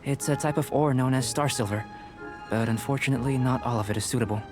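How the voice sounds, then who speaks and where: A reserved young alchemist from an anime game, played by a man, speaks at a middling pitch with clear music behind. The delivery is measured and composed, precise and analytical like a scholar's. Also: lightly acted. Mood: composed, instructive.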